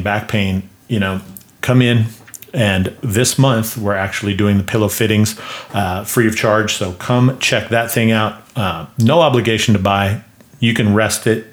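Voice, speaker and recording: A man hosting his clinic's podcast solo, talking casually in a self-described monotone, with many fillers and rhetorical questions. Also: lowish voice. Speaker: podcast host and clinic owner.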